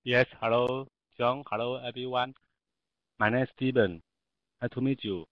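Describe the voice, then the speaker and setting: A male student from Taiwan answers in an online class with a Taiwanese accent, as a non-native speaker of English. His voice sits at an average pitch, and the sound is dry and somewhat muffled, like a lo-fi call. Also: fairly even intonation, moderate pace, dry recording. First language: not English. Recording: dull, lo-fi online call microphone.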